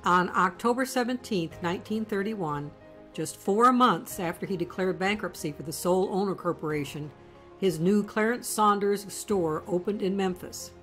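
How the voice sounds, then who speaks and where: A woman giving scripted documentary narration in a measured, storytelling delivery. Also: mid-range voice.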